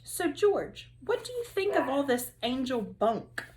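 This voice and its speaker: A woman's voice: high-pitched, very animated, unhurried, darkish tone, slight background noise, voice pushed up. A woman voicing a brash, cocky third grade boy with slangy, teasing talk in a storybook. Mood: cocky, friendly.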